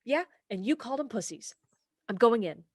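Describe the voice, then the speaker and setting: A young woman acting in a comic radio play as an earnest, headstrong police officer, brisk and argumentative. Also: fairly high pitch, animated, darkish tone, husky, dry recording, studio quality. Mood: determined, forceful. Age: young adult.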